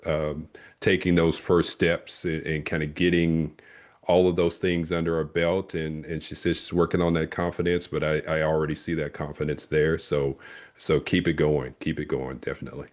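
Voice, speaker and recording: Very deep flat-voiced man. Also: normal speed. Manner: hesitant, many "uh" and "kinda", supportive. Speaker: experienced coach. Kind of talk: casual group video call.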